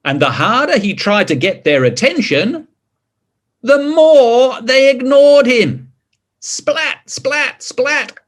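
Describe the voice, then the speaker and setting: Expressive man reading slowly, very lively pitch. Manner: expressive, drawn-out words, chatty questions to listeners. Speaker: story reader. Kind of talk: animated picture-book reading with asides to children.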